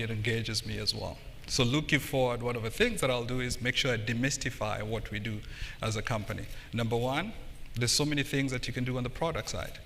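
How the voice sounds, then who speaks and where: A man's voice: mid-range voice, sharp tone. A middle-aged man from Kenya speaking as a panelist at a conference, recorded in a live hall. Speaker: panelist, tech company internal audit executive. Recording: echoey hall with background noise.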